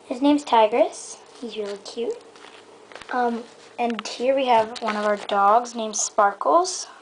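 A woman's voice: fairly high pitch, slow pace, slight background noise, poor audio. Chatty young girl touring her toy stable. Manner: rambling, lots of um and so yeah. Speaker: vlogger giving a toy stable tour. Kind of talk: casual show-and-tell. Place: indoor bedroom floor. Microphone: handheld camera mic.

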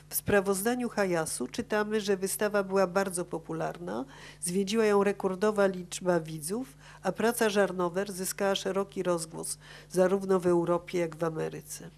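A woman's voice: mid-range voice, darkish tone, slight background noise. A woman reading an academic paper aloud at a conference, stumbling now and then over words as she follows her script. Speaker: conference speaker. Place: conference auditorium. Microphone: gooseneck table microphone.

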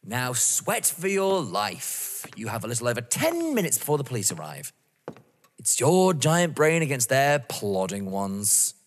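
An actor playing a mocking, vengeful young man in an audio drama, theatrical and savouring each word. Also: mid-range voice. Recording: reverberant hall-like room.

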